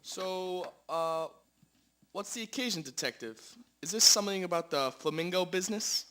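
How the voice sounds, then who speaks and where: Man acting a nervous fat fellow, high-pitched, forceful, stammering, indignant, amateur radio drama. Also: expressive, moderate pace. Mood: uneasy. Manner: stammering, blustering, defensive. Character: nervous, indignant fat man, gluttonous murder-mystery suspect.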